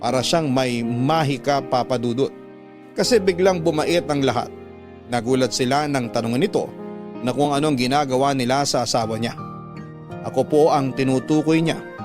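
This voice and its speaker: A man, a radio host, reading a listener's first-person story as dramatic scripted narration. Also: mid-range voice. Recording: clear music bed under narration. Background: instrumental music.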